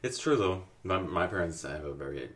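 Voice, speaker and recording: Male host, deep voice, friendly and brief, recorded far off-mic. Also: animated, normal speed, fairly bright tone, a little hiss or hum. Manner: gives brief affirmations, asks friendly questions. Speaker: podcast host. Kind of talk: casual interview chat. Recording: voice distant from the mic.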